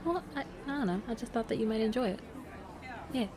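A woman's voice: on the high side, animated, fast talker, a crowd in the background, natural voice. A woman playing a playful, affectionate secret girlfriend in an intimate roleplay audio, hesitant and trailing off as she teases. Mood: tender. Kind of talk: intimate roleplay audio, casual improvised-sounding chat. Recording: noticeable cafe ambience noise behind.

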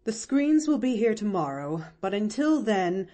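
A woman's voice: medium pitch, very animated, unhurried. A woman doing a stern, clipped spinster aunt. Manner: sharp, clipped, commanding. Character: stern, flustered middle-aged spinster aunt.

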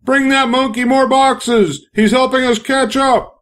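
A man voicing an excitable factory worker in a children's storybook, yelling urgently. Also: very high voice, unhurried, voice pushed up. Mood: urgent, alarmed.

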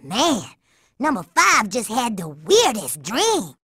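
Sassy tough girl for cartoon game: unhurried drawl, cocky, expressive pitch movement. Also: slightly rough, no room sound, clean studio sound, exaggerated voice. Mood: groggy. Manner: drawling, refers to herself in third person. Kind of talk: animated cartoon video game acting.

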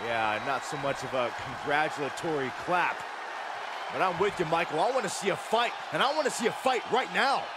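A man playing an animated, opinionated color commentator in a wrestling video game, emphatic and reactive. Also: fairly high pitch, brisk, close to an everyday voice. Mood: eager. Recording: loud noisy arena background. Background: loud arena noise.

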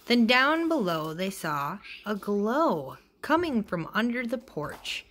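A woman reading a children's picture book aloud in an expressive way, acting out the dialogue. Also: mid-range voice, slow pace. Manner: expressive, acts out character dialogue. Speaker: storybook reader.

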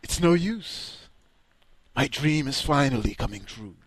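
A man's voice: mid-range voice, lively intonation, a little slow. A man streaming a game, reading its text aloud with crude, mocking jokes, his accent a mix of British, Caribbean and American. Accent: mixed British, Caribbean and American.

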